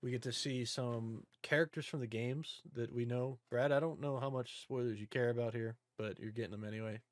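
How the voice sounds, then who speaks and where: A man's voice: medium pitch, steady tone, brisk, no echo. A man hosting a podcast, talking casually about films in an informal, joking recap style. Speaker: podcast host. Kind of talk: casual movie discussion.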